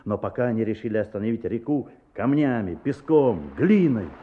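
A man's voice: on the low side, animated, normal speed, heavy background noise, close to an everyday voice. A man narrating a children's adventure tale in a scripted radio play. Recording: muffled narrow-band old radio recording.

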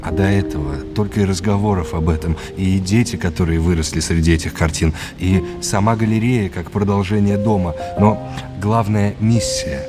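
An actor playing a cultured, courteous male gallery expert who explains things in a measured storytelling manner. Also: low voice, natural voice. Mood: admiring. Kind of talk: audio drama, guide-like narration. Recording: loud music behind the voice. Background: music.

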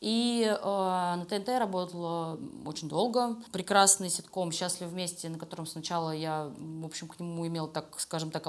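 Middle-aged woman, candid interview guest. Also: on the low side. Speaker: interview guest, animation studio creative director. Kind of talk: conversational interview answers.

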